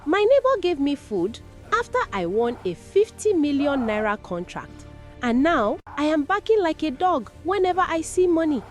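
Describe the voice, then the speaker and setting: A woman's voice: high voice, sing-song, normal speed, soft background music. A woman playing a distressed victim of a curse in a folktale, with an anguished, dramatic manner.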